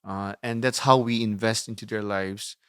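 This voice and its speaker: Man, young adult, non-native English, lowish pitch, clear resonant tone, measured, explanatory, conversational guest. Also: steady tone, fairly bright tone, no echo, studio quality. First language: not English. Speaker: interview guest, youth minister. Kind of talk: conversational interview about ministry.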